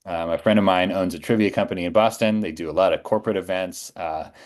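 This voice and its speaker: A man's voice: low voice, steady tone, quick pace. A middle-aged man telling stories in a casual, conversational way as a podcast guest.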